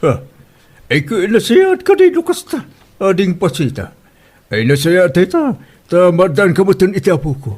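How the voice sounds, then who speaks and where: Man acting a husky, animated Filipino village dad. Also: high-pitched, moderate pace, heavily acted. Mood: surprised. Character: good-natured humble village father, Manong Berto. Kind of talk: Ilocano comedy radio drama acting.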